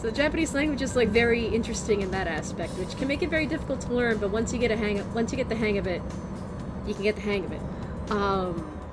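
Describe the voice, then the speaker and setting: A woman's voice: medium pitch, fast, loud music behind the voice. An American woman with a strained, worn-out voice, chatting casually and rambling with lots of fillers while streaming a game. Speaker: vtuber streamer. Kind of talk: casual chat while gaming.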